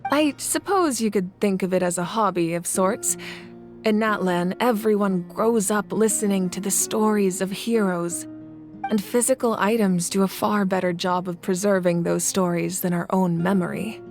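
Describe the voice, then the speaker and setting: An actress playing a confident woman leader who tells stories in a calm, measured way, in a video game. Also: medium pitch, faint music behind, close to an everyday voice. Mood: welcoming. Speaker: Archon of the land. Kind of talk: game voice acting.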